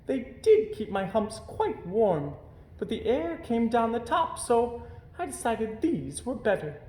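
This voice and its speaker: A man's voice: very high voice, sing-song, slow, dark tone, pitched above the performer's own voice, full character voice. A man voicing a helpful, apologetic female toy camel in a storybook. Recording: roomy, echoey indoor sound.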